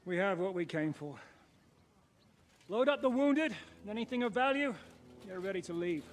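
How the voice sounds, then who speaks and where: An actor playing a brisk, commanding medieval military leader in a video game cutscene. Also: male voice, high voice, animated. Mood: brisk, businesslike.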